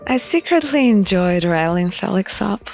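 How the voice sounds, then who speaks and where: A woman reading a visual novel aloud and commenting on it as she plays, in a deliberate manner. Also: medium pitch, expressive, gravelly, a little mellow. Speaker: game playthrough narrator. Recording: music under the voice.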